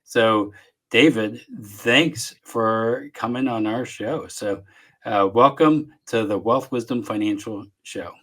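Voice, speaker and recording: A man from Southeast Texas with a Texan accent, hosting a casual podcast interview from his home office, talking in a relaxed, rambling way with lots of fillers. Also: fairly low pitch, takes it slowly. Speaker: podcast host.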